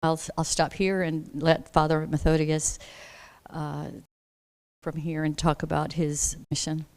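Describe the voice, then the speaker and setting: A woman introducing a guest speaker at church, speaking haltingly with many fillers and restarts. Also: low voice, level tone, darkish tone, no echo. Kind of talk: informal introduction.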